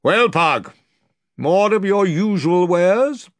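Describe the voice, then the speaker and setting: Man doing a stern bearded lord, barks orders, slow n dry. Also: high-pitched, expressive, no room sound, voice pushed up. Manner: stern, clipped commands. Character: stern bearded nobleman, grim and commanding.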